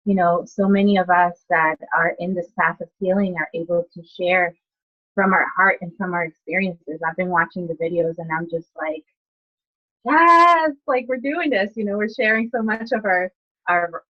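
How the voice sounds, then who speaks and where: Low-voiced woman from Ecuador, muffled call audio. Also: normal speed. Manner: chatty, many "you know" and "like". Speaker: guest, nurse and healer. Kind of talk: conversational storytelling.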